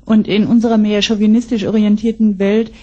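A woman's voice: mid-range voice, little pitch movement, brisk, dark tone, highs cut off. A woman interpreting a speaker line by line, with occasional hesitations. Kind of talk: consecutive translation into German.

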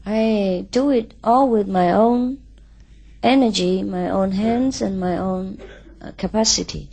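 A woman from Vietnam giving a spiritual talk in short, simple English phrases, pausing so an interpreter can translate. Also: medium pitch, creaky, mellow. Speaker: spiritual teacher.

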